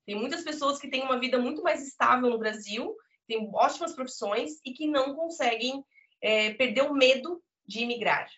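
A woman's voice: high-pitched, moderate pace, a bit bright. Earnest Brazilian woman, muffled audio. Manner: earnest, emphatic storytelling with frequent "né?". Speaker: podcast guest. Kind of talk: conversational interview.

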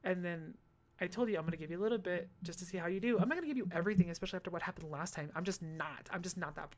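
A young man with a high-pitched voice, telling stories in a casual monologue, animated, swearing freely and tossing in sassy asides. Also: very fast. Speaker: vlogger.

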